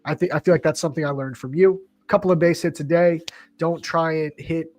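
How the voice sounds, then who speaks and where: A man's voice: a little high, fairly even intonation, fairly quick, darkish tone. A man in his early thirties hosting a trading livestream, upbeat and chummy, casually calling people bro. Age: 30s. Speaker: livestream host.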